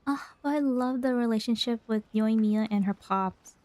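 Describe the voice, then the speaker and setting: A woman's voice: on the high side, normal speed, darkish tone, no room sound. A woman game streamer giving casual reactions as she plays.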